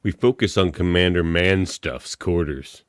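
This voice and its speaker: A man's voice: low-pitched, unhurried, clear, resonant tone, no room sound, clean studio sound. A man narrating scripted scene directions for a radio play.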